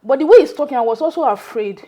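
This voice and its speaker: Nigerian woman telling her story, kinda high but mellow voice, rambly with lots of "you know" and restarts. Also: animated, fast talker. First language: Yoruba. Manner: loose and repetitive, fillers and restarts. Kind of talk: conversational first-person storytelling.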